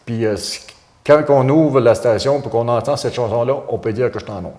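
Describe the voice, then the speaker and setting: A man's voice: mid-range voice, a little fast, a little mellow. Hesitant man, echoey room. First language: French. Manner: hesitant, many fillers and restarts. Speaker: volunteer internet radio host, interview guest. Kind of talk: casual interview answers.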